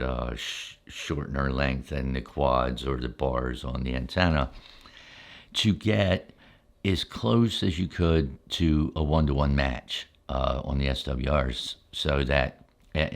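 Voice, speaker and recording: An older man with an exceptionally deep, low voice tells stories from memory for ASMR. He is relaxed and unscripted, taking his time and often pausing partway through a sentence before carrying on. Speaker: ASMR storyteller, channel host.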